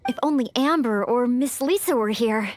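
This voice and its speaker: A woman's voice: a little high, moderate pace, a little hiss or hum, natural voice. A woman voicing an earnest, polite young female knight-in-training in an anime-style video game. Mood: dismayed.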